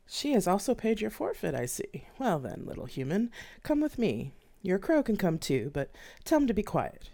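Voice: medium pitch, huge pitch swings, normal speed, creaky, warm tone, voice pushed up. Someone doing a taunting fire spirit. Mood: commanding. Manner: taunting, drawling. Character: mocking, imperious fire-wielding desert spirit, female.

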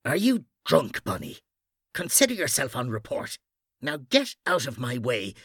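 A performer voicing a stern, irritable senior policeman in an audiobook, with a gruff, officious manner. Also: medium pitch, wildly swooping pitch, a little slow, fairly bright tone, no echo, studio quality, exaggerated voice. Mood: angry.

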